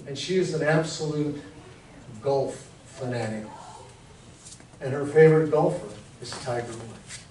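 An American man in his sixties preaching a sermon as a pastor, telling it conversationally in short, deliberate phrases. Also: medium pitch, a little mellow. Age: 60s. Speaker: Lutheran pastor. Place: church sanctuary in front of a congregation. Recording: very echoey church hall, distant mic, faint noise.

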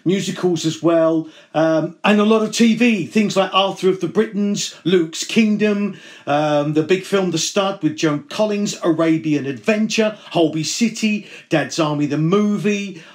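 A man's voice: high-pitched, measured pace, few pauses. A man hosting a radio show, interviewing a guest, effusive and full of fillers.

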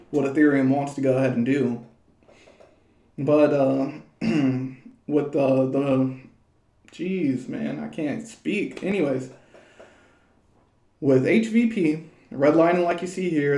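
A young man talks through market charts in a loose, rambling way, full of fillers and restarts. His voice sits a little high and has a mellow, slightly hoarse tone. The sound echoes around a small home room. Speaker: crypto chart analyst. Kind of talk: casual market commentary over charts.

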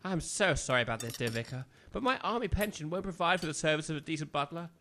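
A retired English army major in a comic audio drama. He is pompous and quick to bark orders, with a forceful, cutting voice set a little high. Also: lively intonation, a little hiss or hum. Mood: irritated, barking. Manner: pompous, blustering, barking orders.

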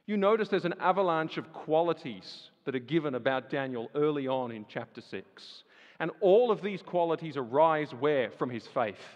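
A man's voice: on the high side, dull audio. Emphatic man preaching in a big hall. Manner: emphatic, rhetorical questions, swings from loud to hushed. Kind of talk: sermon. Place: large church hall. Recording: long reverb of a large hall.

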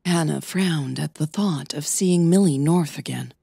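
A woman's voice: low-pitched, measured pace, creaky, dark tone, slightly rough, dry recording, clean studio sound. A woman reading scripted fiction as the narrator of an audio drama podcast.

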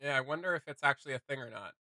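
A man's voice: medium pitch, racing, sharp tone, no echo, studio quality. A man giving casual, chatty reaction commentary as a host, often feeling his way through a phrase. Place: small home gaming room, indoors.

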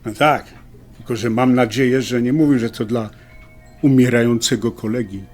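A man's voice: mid-range voice, steady tone, normal speed, darkish tone, faint music behind, lightly acted. A man playing an enthusiastic, wry fellow in an audio drama, speaking in a colloquial, joking way. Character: enthusiastic, wry male motorcycle lover facing surgery.

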